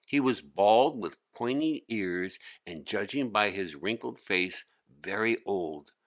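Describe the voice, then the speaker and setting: A man giving an expressive, scripted read-aloud narration of a children's story. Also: fairly low pitch, slow.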